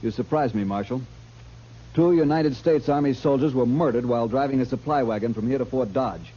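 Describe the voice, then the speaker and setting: An actor playing a haughty, demanding army major with a husky voice and clipped manner in a radio western. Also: male voice, medium pitch, brisk, mellow, close to an everyday voice. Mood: indignant. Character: stiff, haughty army major, new to frontier. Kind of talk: 1950s radio western acting. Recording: dull vintage radio recording.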